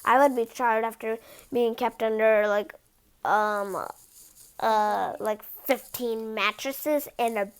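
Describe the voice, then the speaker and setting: A woman reading a picture book aloud, slightly halting, with casual, chatty asides. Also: on the high side, fairly even intonation, clean tone. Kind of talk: animated storybook read-aloud with casual asides.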